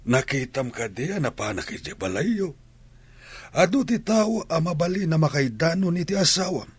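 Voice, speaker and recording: A man's voice: fairly high pitch, big pitch swings, moderate pace, husky, no echo. A man acting a reproving older father and grandfather in an Ilocano radio drama. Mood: reproachful.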